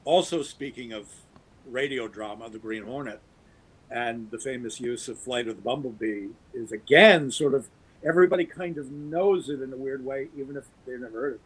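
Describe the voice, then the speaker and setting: A man's voice: mid-range voice, normal speed, breathy edge, rough recording. Man rambling through a casual chat. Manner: rambling, enthusiastic, many fillers and restarts. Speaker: guest actor.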